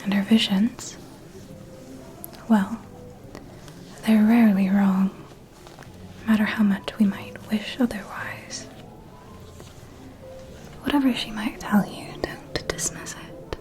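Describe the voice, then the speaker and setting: A woman playing a refined, protective vampire mentor in an ASMR roleplay, confiding discreet gossip in a soft, measured whisper. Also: medium pitch, steady tone, lightly acted. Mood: confiding, wary.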